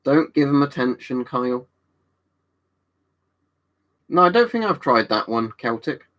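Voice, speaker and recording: A man's voice: mid-range voice, very animated, unhurried, fairly bright tone. A man, casually chatting and answering viewers on a livestream. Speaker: livestream host reading chat. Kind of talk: casual chat.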